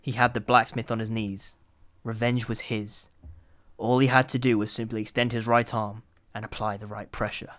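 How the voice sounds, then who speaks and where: A man's voice: fairly low pitch, level tone, moderate pace, fairly bright tone. A man narrating a scripted dramatic short story in an audio drama.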